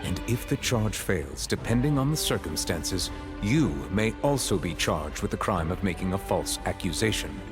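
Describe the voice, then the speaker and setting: An actor playing a stern, formal judge in a video game, speaking in a measured, authoritative manner. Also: male voice, sits low, lively intonation, music in the background. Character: stern, formal male judge presiding over a court. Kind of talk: scripted game dialogue.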